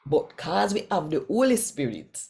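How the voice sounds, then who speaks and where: A woman with a Jamaican accent reads scripture aloud in Patois, with deliberate, emphatic phrasing. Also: low-pitched, sing-song, vocal fry, dark tone. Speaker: scripture reader and host of a daily reading.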